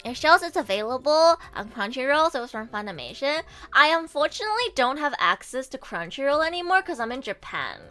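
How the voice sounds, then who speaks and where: A woman streamer chatting casually with her viewers, animated and swearing freely, her throat a bit sore from a coming cold. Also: high-pitched, brisk, few pauses, soft background music. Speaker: VTuber streamer and voice actor. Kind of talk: casual livestream chat.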